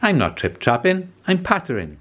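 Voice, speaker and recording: A man's voice: high voice, wildly swooping pitch, moderate pace, a bit bright, voice pushed up, heavily acted. A man voicing a small, timid mouse in a children's storybook, earnest and pleading. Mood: matter-of-fact.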